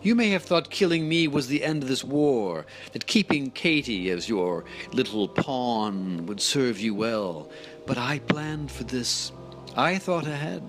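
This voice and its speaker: Man acting a slow, gloating, vindictive doctor. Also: medium pitch, lively intonation, close to an everyday voice. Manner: measured, gloating, theatrical. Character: gloating, vindictive male doctor, savoring his revenge. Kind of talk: audio drama monologue. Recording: music behind the voice. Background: dramatic music.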